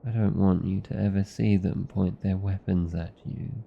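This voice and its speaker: A British man playing a protective, anxious vampire lover in a romantic ASMR roleplay, speaking formally and earnestly with deliberate pauses. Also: sits low, steady tone, vocal fry, breathy edge, muffled recording, heavily acted. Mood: tender, grave. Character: protective, anxious old vampire lover, tender yet arrogant.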